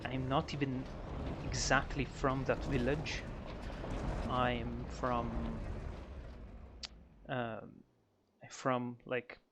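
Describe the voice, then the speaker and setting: A man from northern Italy, chatting casually and hesitantly to his viewers during a game stream, with lots of ums and restarts. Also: mid-range voice. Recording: steady background noise.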